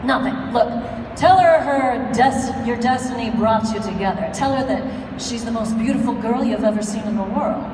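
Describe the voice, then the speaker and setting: A woman's voice: a little high, moderate pace, close to an everyday voice. A woman playing a breezy, coaxing teenage boy at a live comedic table read. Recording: echoey noisy hall, far from mic.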